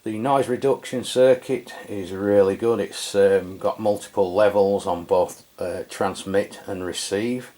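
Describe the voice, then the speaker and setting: A man with a notably low voice explains a product in a laid-back, conversational manner. He often pauses on fillers and corrects himself mid-sentence, like a hobbyist talking to his regular viewers. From England. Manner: relaxed and chatty, with frequent fillers and self-corrections. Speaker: radio equipment reviewer. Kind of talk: casual product review walkthrough.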